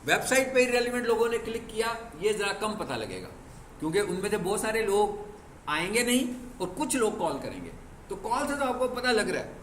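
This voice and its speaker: A man's voice: high-pitched, big pitch swings, a little fast, fairly bright tone. A male teacher lecturing a class. He explains by asking questions and answering them himself, and he is firm with students about discipline. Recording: echoey classroom with faint background noise.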